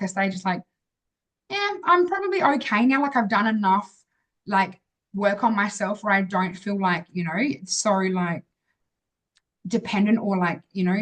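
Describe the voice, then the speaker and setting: A woman's voice: medium pitch, rough recording. An Australian woman telling a personal story as an interview guest in a casual, chatty, rambling way with many filler words.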